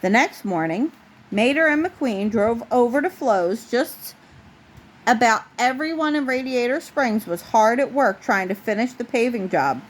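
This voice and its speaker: A woman with a fairly high voice narrates a children's picture book. She reads it aloud in the style of a parent at bedtime. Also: animated, measured pace. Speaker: parent reading a bedtime story. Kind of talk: storybook read-aloud narration.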